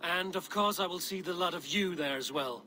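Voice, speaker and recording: An actor playing a bold, threatening Viking warrior in a video game, with a blunt and commanding delivery. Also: male voice, high voice, normal speed, sharp tone, a little hiss or hum. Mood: blunt resolve. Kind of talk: dramatic video game acting.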